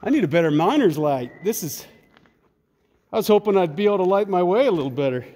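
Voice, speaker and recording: A man's voice: sits high, expressive, fast talker, dark tone. A man narrating a casual off-the-cuff vlog, rambling and thinking aloud in a folksy way. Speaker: vlogger exploring a cave. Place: cave trail.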